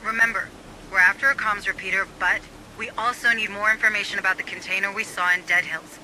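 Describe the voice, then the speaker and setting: An actor playing a watchful adviser guiding a Marine squad in a video game. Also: male voice, high-pitched, fairly even intonation, fast talker, sharp tone, a little hiss or hum, rough recording. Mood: focused. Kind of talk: scripted game military dialogue.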